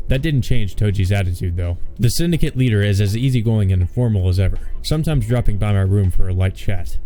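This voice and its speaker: A man's voice: on the low side, warm tone. A man reading a visual novel aloud as a let's player, adding wry, offhand comments. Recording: faint music behind the voice.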